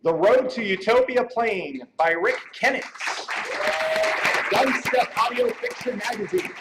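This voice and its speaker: A man's voice: high-pitched, lively intonation, a little slow, a crowd in the background. A wisecracking, irreverent man ad-libbing banter as he presents an award on stage. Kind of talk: joking ad-libbed live stage banter. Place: convention stage. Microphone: stage microphone. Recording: noisy live event microphone.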